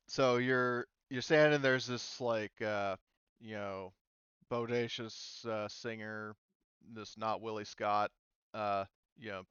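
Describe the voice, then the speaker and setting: A man running a tabletop game as game master, narrating casually in an informal, halting way. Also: lowish voice, fairly bright tone, smooth, dry recording, lo-fi sound.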